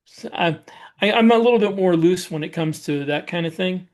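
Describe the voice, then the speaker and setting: A man's voice: sits high, normal speed. Man in casual podcast conversation. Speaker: podcast co-host and science fiction author. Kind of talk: casual conversation about writing.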